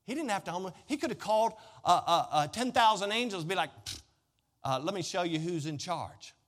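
Male pastor preaching, pretty high voice, folksy and emphatic, big echoey hall. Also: animated, fairly quick, fairly bright tone. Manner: emphatic, repeats phrases, folksy direct address to the congregation. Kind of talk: sermon preaching. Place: large indoor room, preaching to a congregation. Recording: reverberant hall with long echo.

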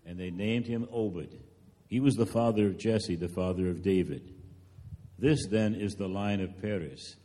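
A man with a low, very mellow and dark voice reads scripture aloud. He serves as the narrator of a scripted dramatic reading in church. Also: unhurried.

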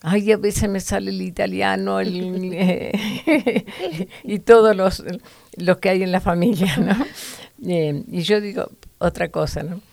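A woman's voice: mid-range voice, steady tone, slow pace, gravelly, darkish tone, no echo. A woman teaching from the Bible to other women in an earnest, preachy way, with fillers and restarts.

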